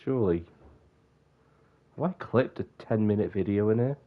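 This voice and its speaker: A man's voice: fairly low pitch, moderate pace, phone-quality sound. A young man with a bunged-up, sniffly voice, rambling casually on a live stream and often breaking off mid-sentence. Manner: rambling, self-interrupting.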